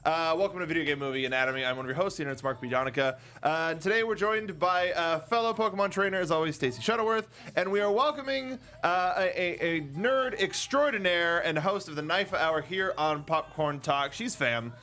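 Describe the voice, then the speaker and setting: A man with a fairly high voice hosts a chatty film review show. His pitch moves around a lot, and he talks in a loose, halting ramble, often correcting himself. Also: animated, sharp tone. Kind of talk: casual, chatty panel discussion.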